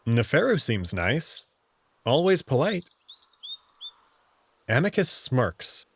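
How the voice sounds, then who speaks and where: A man's voice: mid-range voice, sing-song, takes it slowly, a little hiss or hum. A man reading first-person visual novel narration and dialogue as the story's protagonist.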